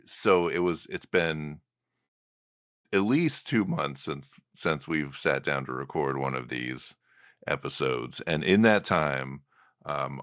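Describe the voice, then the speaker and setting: A man hosting a podcast, chatting in a relaxed, conversational way. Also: very deep voice, no echo. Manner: relaxed, conversational, uses "like" as a filler. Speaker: podcast host.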